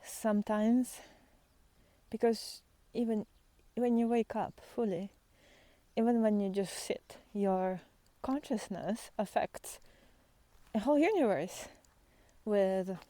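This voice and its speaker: A woman with a mellow, relaxed voice talks slowly, as a spiritual guide would to her followers. Her delivery is tender and halting, with pauses between short phrases. It feels intimate and unscripted, at times close to a whisper. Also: mid-range voice, no room sound, rough recording. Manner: tender, halting, with long pauses, sighs and soft laughs. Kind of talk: spontaneous, intimate spiritual talk to viewers. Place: outdoors in nature, walking by foggy fields.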